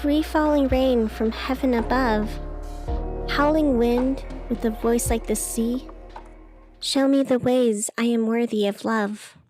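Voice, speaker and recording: A woman's voice: on the high side, fairly even intonation, slow, music in the background. A woman playing a wistful, self-doubting night radio host in a scripted audio drama, speaking in an earnest, confiding way. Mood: yearning, poetic.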